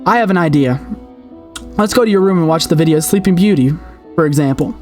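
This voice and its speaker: A man's voice: on the high side, brisk, a little mellow, soft background music, natural voice. A man voicing an anxious boy, a protective older brother in a horror game. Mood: reassuring. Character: anxious boy reassuring his little sister.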